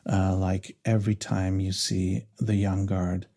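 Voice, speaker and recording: Low, gravelly, soft-spoken man. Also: flat delivery, a little slow. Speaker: voice actor and ASMR creator. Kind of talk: soft-spoken behind-the-scenes commentary.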